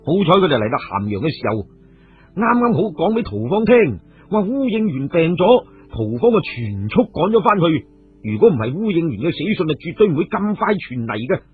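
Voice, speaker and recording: A man's voice: on the high side, very animated, a little fast, breathy edge. A man with a Hong Kong Cantonese accent narrating an audio novel as a dramatic storyteller. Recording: tinny radio-like sound with music behind. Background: music.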